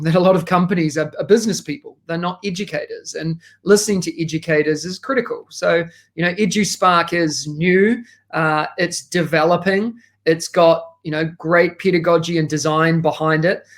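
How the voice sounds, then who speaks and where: A man with a New Zealand accent, talking enthusiastically as a podcast guest, with frequent fillers. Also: sits high. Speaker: guest, education consultant. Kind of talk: casual podcast interview.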